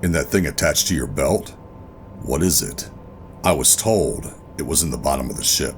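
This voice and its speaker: Very deep-voiced, brooding covert operative. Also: male voice, expressive, normal speed, a little hiss or hum. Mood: firm, calming. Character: hardened covert operative narrating, adult man, wary and steady. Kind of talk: first-person sci-fi horror audio drama narration. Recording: music behind the voice.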